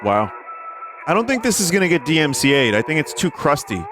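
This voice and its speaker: A man's voice: a little high, very animated, a little fast. A man hosting a livestream, chatting casually and wryly as he reacts to recordings. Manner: wry, joking. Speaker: streamer hosting a listening session. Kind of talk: casual live commentary, chatting with viewers. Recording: music playing behind the voice. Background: radio recordings and music.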